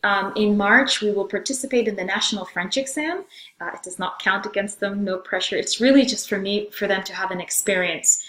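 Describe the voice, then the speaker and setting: Middle-aged female French teacher from Zambia, informal course presentation, halting phrasing, roomy echoing sound. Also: mid-range voice, fairly bright tone. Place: room in a house, indoors. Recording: echoey room sound.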